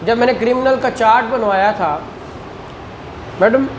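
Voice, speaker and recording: A man's voice: very high voice, moderate pace. A man with a Pakistani accent lecturing on law to a class in a didactic way, putting questions to students and repeating key phrases. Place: indoor classroom. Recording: echoey room with clear background noise.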